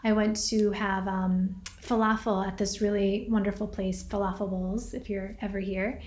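A woman hosting a reflective podcast, talking casually and gently to her listeners and often checking in with "right?" and "you know.". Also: medium pitch, steady tone, moderate pace, echoey room. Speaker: podcast host and workshop leader. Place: staying in a rented home.

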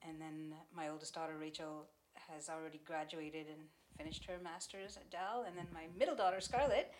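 A woman in her fifties, from the Seychelles and a native French speaker, is a guest on a podcast. Her voice sits low. She tells her story in a steady, matter-of-fact way. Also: brisk, low-quality recording. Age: 50s. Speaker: interview guest. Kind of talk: casual interview chat.

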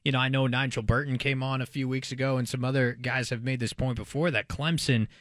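A man's voice: medium pitch, steady tone, brisk, a bit bright, no echo, studio quality. An American man hosting a sports talk show, analyzing in a casual, conversational way as he thinks aloud.

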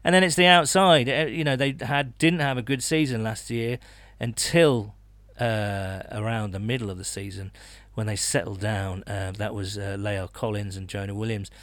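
Man in halting sports podcast chat. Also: fairly low pitch, fairly even intonation, fairly bright tone, no room sound. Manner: halting, repeats words. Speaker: podcast co-host.

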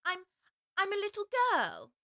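A woman's voice: extremely high pitch, huge pitch swings, a little slow, gravelly, sharp tone, voice pushed up, full character voice. A woman voicing a polite, puzzled little girl in a storybook reading, speaking in an earnest, politely protesting way.

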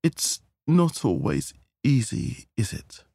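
A man voicing an imperious middle-aged society chairwoman, pompous, deliberate and pious in a comic story. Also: on the low side, sing-song, dry recording, pitched above the performer's own voice, full character voice. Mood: soothing, pious.

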